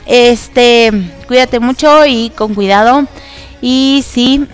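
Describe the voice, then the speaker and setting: A woman's voice: a little high, slow pace, clean tone, faint music behind. A woman hosting an online radio show, nasal and congested from allergies, chatting casually with many fillers and giggles. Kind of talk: casual radio chat about K-dramas.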